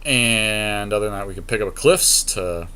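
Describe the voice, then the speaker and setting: A man's voice: on the low side, normal speed, a crowd in the background. Casual male gaming podcast co-host. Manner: informal, profane. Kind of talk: casual card game draft commentary with a friend.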